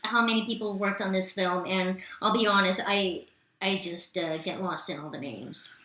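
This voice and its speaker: A woman's voice: mid-range voice, normal speed, sharp tone, echoey room. A woman who grew up speaking Spanish, chatting as an actress guest, stopping often on fillers and restarts. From Los Angeles. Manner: hesitant, many fillers and restarts. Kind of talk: casual interview chat.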